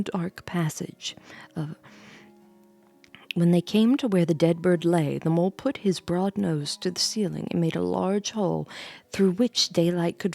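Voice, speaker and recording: Female storyteller, slightly low register with noticeable fry, reading a bedtime tale with casual asides. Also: warm tone. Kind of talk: storytelling read aloud with chatty asides.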